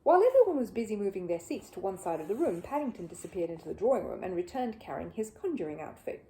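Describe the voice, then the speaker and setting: A woman reading a children's story aloud in an expressive, animated way. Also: medium pitch, quick pace, low-quality recording.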